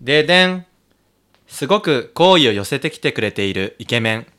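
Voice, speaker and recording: A man's voice: medium pitch, sing-song, normal speed, a bit bright. A man co-hosting a podcast in casual comic banter, teasing and often laughing as he talks. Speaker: podcast co-host.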